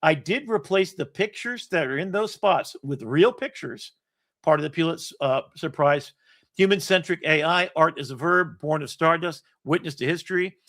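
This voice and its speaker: Man in his sixties, somewhat high voice, rambling host, casual screen-share talk. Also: normal speed, fairly bright tone. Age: 60s. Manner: rambling, self-deprecating, swears now and then. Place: home setup with an iPad.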